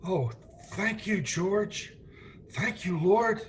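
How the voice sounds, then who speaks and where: An actor playing an earnest, apologetic, bumbling elderly guardian angel in an old-time radio play. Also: male voice, sits high, animated, very slow, slight background noise, full character voice. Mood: flustered, earnest.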